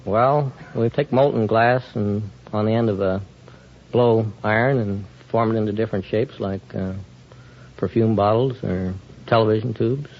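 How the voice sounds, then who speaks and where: A man's voice: on the low side, fairly even intonation, normal speed. A man from Missouri with an American accent, giving plain-spoken interview answers as a radio contestant. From Kansas City, Missouri. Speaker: contestant, glass blower. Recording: muffled 1950 radio broadcast, faint audience.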